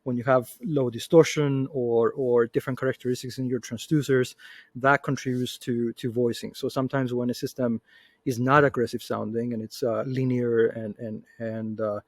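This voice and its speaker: A man giving a methodical, measured technical explanation as a product manager on a panel. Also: medium pitch, flat delivery, no echo, studio quality.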